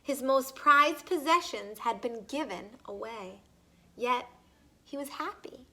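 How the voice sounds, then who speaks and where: A woman reads a picture book aloud for children. Her voice is bright and somewhat high, and she takes her time, telling the story expressively and checking in with simple questions. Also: expressive, slow pace. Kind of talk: expressive read-aloud narration with questions to listeners.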